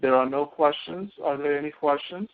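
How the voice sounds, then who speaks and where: A man teaching a live software tutorial as a course instructor, explaining things step by step, heard through narrow phone-like audio. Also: a little high, moderate pace, slight background noise.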